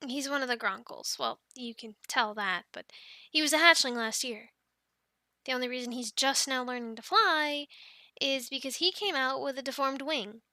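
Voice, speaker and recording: High, bright young Viking woman, acted by a woman. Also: normal speed, no echo. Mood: friendly, welcoming. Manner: chatty, affectionate coaxing and explaining. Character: cheerful young female Viking dragon keeper, tender with her animals. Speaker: amateur audio roleplay performer. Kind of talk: ASMR roleplay acting, speaking to the listener.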